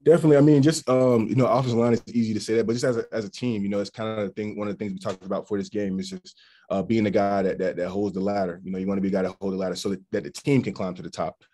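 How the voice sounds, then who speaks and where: Deep, fast-talking young man at a press conference. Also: fairly even intonation, warm tone. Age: young adult. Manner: earnest, rambling with fillers and restarts. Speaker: college football offensive lineman. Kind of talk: post-game press conference answers. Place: press backdrop room, indoors. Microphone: video call.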